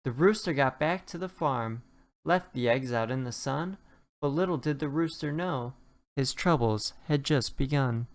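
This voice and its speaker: Slow-paced storybook reader. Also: medium pitch. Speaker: children's book reader. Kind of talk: scripted storybook narration, rhyming read-aloud.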